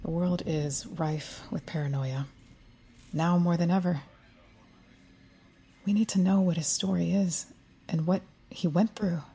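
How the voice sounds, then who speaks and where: An actress in an audio drama playing a cool, controlled special agent who speaks in a measured, authoritative way. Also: female voice, lowish voice, fairly even intonation, mellow, slight background noise. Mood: grave, persuasive.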